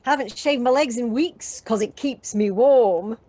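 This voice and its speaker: High voice, slow pace, cheerful unrefined young British woman driver, cheeky, audio drama. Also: mellow.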